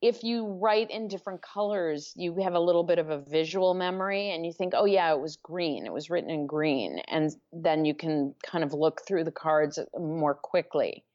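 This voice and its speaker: A woman author being interviewed, speaking thoughtfully with pauses and self-corrections. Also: deep voice, a little mellow, lo-fi sound. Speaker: author guest. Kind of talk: podcast interview.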